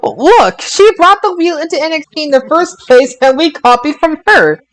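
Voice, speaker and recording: A man hosting a casual wrestling review podcast, speaking haltingly with stammered restarts. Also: very high voice, very animated, a bit bright. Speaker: podcast host.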